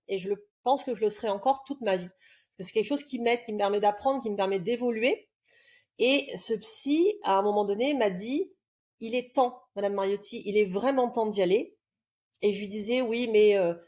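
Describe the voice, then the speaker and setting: A woman in her forties talks about her life on a podcast, with a soft, mellow tone. She speaks reflectively and earnestly, often pausing with hesitations as she searches for words. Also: mid-range voice. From southern France. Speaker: love coach guest. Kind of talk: podcast interview, personal storytelling.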